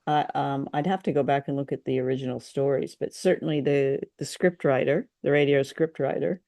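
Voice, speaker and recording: A woman hosting a casual podcast discussion, speaking in a measured way with some hesitation. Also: rumbling low, steady tone, mellow, dry recording. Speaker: podcast host. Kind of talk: casual chat reviewing an old radio drama.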